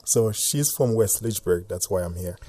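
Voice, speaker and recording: A man in his thirties from Haiti with a Haitian accent, a guest author talking casually in a radio interview, soft-spoken and quick to laugh. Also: on the low side, expressive, no room sound.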